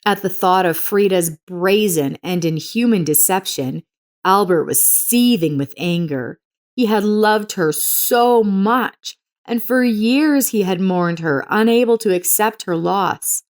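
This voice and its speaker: A woman's voice: mid-range voice, slow. A woman narrator reading a scripted dramatic story.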